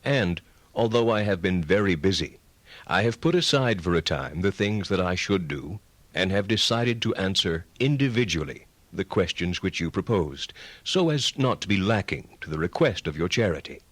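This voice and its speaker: A learned medieval friar speaks in a low, deliberate voice. He is formal and courteous, setting out his reasoning like a patient teacher in an audio drama, close and dry. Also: lively intonation, a bit bright, dry recording. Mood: courteous, slightly stern. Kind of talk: dramatized reading of philosophical writings.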